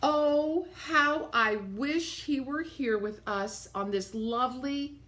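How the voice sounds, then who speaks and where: A woman voicing a grieving, wistful mother donkey in a storybook, with drawn-out, pleading phrasing. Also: high-pitched, a bit bright, voice pushed up. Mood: sorrowful longing.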